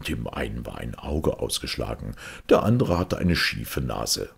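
A man reading a fairy tale aloud as an expressive storyteller. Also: very deep voice, unhurried, creaky, slightly rough. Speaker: storyteller, audiobook reader.

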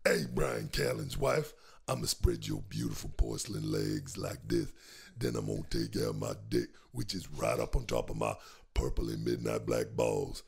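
A deep gravelly crude seducer. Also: male voice, big pitch swings, normal speed, darkish tone, exaggerated voice. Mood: lewd.

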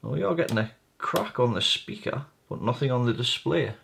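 Man, medium pitch, repair video host, casual step-by-step narration, tentative, thinking aloud. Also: expressive, moderate pace, creaky, background noise. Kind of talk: casual hands-on narration while working at a bench. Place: indoor workbench.

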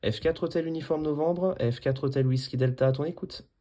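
A man's voice: a little high, fairly quick, heavy background noise. A man chatting casually as an amateur radio operator while he demonstrates his setup. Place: small indoor room at a desk.

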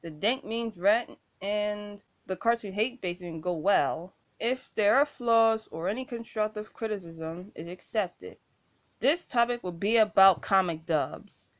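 A woman's voice: mid-range voice, unhurried, dry recording. A young adult woman delivering a scripted commentary rant in a complaining, slightly stilted way. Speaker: YouTuber giving a rant.

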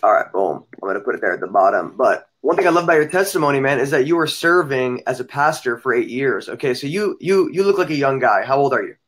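A man's voice: mid-range voice, lively intonation, fast talker, hardly any pauses, fairly bright tone, husky. A man of thirty hosting an interview show, chatty and enthusiastic. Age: 30s. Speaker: host. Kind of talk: casual interview chat.

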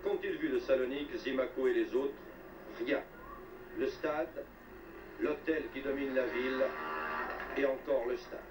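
Dramatic sports reporter, roomy noisy recording. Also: male voice, medium pitch, a little slow, pauses often. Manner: dramatic, wry, literary phrasing. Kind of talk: scripted football report narration. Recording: roomy, distant, noisy old TV soundtrack.

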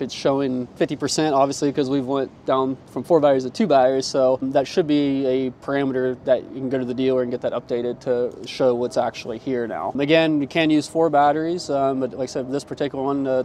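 A man's voice: mid-range voice, a little mellow. A man in his forties with an American accent, giving an informal, plain-spoken product demonstration to camera as an installer. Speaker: presenter and installer. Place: outdoors on a gravel truck lot. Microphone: clip-on lapel mic. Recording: outdoor ambient noise.

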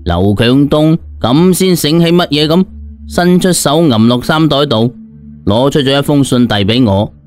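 A man telling a dramatised story in the first person, in a conversational, expressive way. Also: on the high side, a little slow, soft background music. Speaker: audiobook narrator.

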